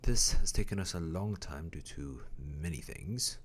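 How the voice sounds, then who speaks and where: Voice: very deep voice, expressive, measured pace, a little hiss or hum. A storyteller host introducing and narrating an audio drama, stumbling a little over words. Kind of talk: scripted narration and bantering intro.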